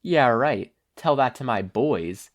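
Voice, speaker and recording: A man's voice: mid-range voice, lively intonation, takes it slowly. A man voicing a brash, upbeat adult superheroine with a breezy, quippy manner in a fan fiction reading. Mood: confident, joking.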